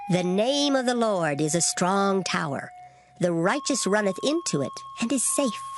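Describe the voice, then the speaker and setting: A woman performs a solemn scripture reading with a very varied, expressive melody to her speech. Plucked string music is loud behind her measured words. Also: medium pitch. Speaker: scripture reader. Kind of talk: dramatized Bible reading. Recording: prominent guitar music behind voice.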